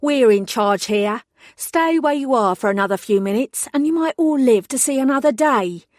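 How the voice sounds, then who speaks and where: A hard-edged female bank robber snarls out clipped threats in a put-on Cockney accent, as a woman narrator plays her. The voice sits raised and pushed louder than plain talk, with a dry, close sound and no room around it. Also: high voice, expressive, moderate pace, no room sound, voice pushed up. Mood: menacing.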